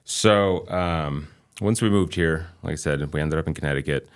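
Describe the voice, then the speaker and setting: A man in his thirties, a native Albanian speaker from Kosovo with a light New England accent, talking as a guest in a measured, reflective way with frequent pauses. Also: very deep voice. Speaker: guest chiropractor. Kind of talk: casual interview chat.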